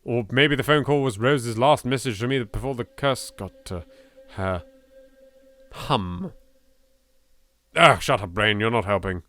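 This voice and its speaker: Bright-voiced British man, theatrical horror game reader. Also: medium pitch, a little slow, pauses often. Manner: theatrical, expressive, swearing. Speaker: video game let's player. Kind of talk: dramatic read-aloud of horror game text with asides.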